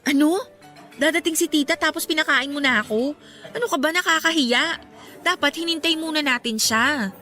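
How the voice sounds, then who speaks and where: A woman playing a sweet, bashful young girlfriend in a radio drama, polite and expressive. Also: high-pitched, normal speed, soft background music. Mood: surprised, fussing.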